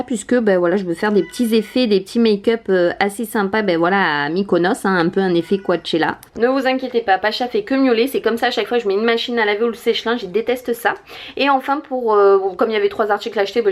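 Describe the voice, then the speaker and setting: A young woman in her late twenties chats excitedly through a casual home vlog. She talks freely with many fillers and pauses, recorded indoors by a window. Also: mid-range voice. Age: 20s. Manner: chatty and enthusiastic, many fillers. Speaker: vlogger.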